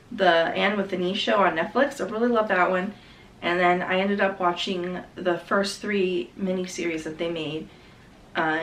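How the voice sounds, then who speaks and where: Book vlogger, female, voice still rough from a cold, chatty and eager, mic a bit distant, faint hiss. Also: fairly low pitch. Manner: chatty, enthusiastic, self-correcting. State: getting over a cold. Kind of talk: casual book haul chat. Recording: slightly distant mic, faint background noise.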